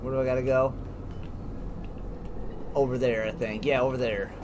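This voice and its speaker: A man's voice: medium pitch, level tone, moderate pace. A man giving casual let's play commentary as a gaming host, informally thinking aloud. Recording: clearly noisy, game ambience behind.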